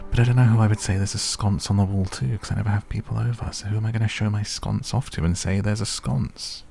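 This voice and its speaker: A man giving relaxed ASMR gameplay commentary, musing gently and whimsically to the listener. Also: lowish voice, fast, gravelly, slight background noise. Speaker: gaming video host.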